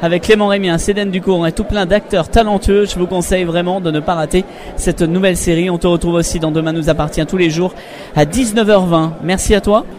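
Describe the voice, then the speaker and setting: A man's voice: high voice, steady tone, brisk, a little mellow. A man hosting a radio show, presenting and interviewing guests.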